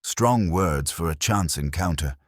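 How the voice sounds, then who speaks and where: A man voicing a gentle, shrewd police inspector who questions politely in a mystery story. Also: sits low, lively intonation, measured pace, no echo, studio quality. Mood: dryly shrewd. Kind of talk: scripted mystery story dialogue.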